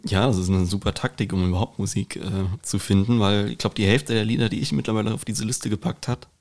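Male co-host, lower-pitched, informal conversational delivery, reworks phrases mid-thought. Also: steady tone, fast talker, a little mellow. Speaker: podcast co-host. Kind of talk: casual podcast chat.

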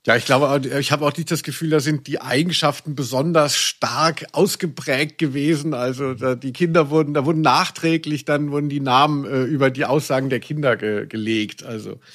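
A man's voice: medium pitch, steady tone, normal speed, hardly any pauses, fairly bright tone. A man hosting a podcast with casual, humorous commentary, given to ironic mock drama. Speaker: podcast host.

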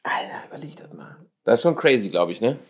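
A man's voice: fairly low pitch, sing-song, fast. A man from the Ruhr area interviewing a guest in casual conversation, slangy and agreeable, with lots of fillers. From Ruhr area, Germany.

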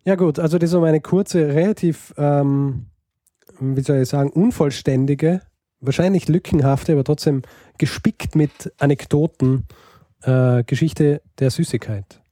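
A man's voice: on the high side, steady tone, unhurried, mellow, no echo, clean studio sound. A man co-hosting a casual podcast chat, talking hesitantly with many fillers and restarts. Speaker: podcast co-host.

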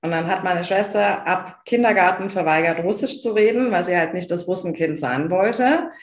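A woman's voice: fairly low pitch, moderate pace, roomy sound. A woman from Bavaria, talking as a podcast guest in a casual chat, enthusiastic and emphatic, with many fillers and restarts. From Bavaria, Germany.

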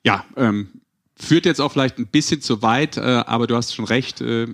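A man's voice: medium pitch, normal speed, fairly bright tone. A man hosting a podcast in a casual, conversational way, a little hesitant and wry. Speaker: podcast host.

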